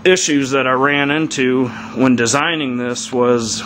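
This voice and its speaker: A man's voice: mid-range voice, fairly even intonation, a little slow, fairly bright tone, slight background noise, rough recording. A man giving a casual, unscripted walkthrough in a plain-spoken, hesitant way, recorded indoors on a handheld camera's mic. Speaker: hobbyist builder. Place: carpeted living room, indoors. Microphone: camera mic, held by speaker.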